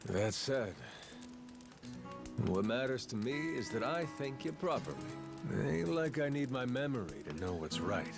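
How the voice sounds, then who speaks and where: An American male actor playing a gruff, blunt but honorable yakuza with no memory, talking in rough slang in a video game cutscene. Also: mid-range voice, sing-song, a little slow. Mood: earnest, frank. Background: game music.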